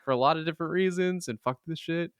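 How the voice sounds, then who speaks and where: A man co-hosting a gaming podcast in casual chat, animated and free with swearing. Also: a little high, fast talker, clean tone, no room sound, studio quality. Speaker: podcast co-host.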